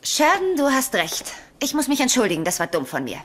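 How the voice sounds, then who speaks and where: A woman's voice: high-pitched, huge pitch swings, a little fast, fairly bright tone, a little hiss or hum, full character voice. A woman playing an exasperated but kind young woman in German-dubbed sitcom dialogue. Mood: apologetic.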